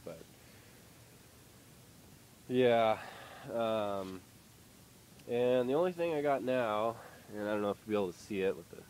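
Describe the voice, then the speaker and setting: Deep-voiced stuffy-nosed man in a garage. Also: a little mellow, a little hiss or hum, low-quality recording. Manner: rambling, hesitant, lots of fillers. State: nasal from a head cold. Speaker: car hobbyist vlogger. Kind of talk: casual garage update. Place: workshop with poor lighting.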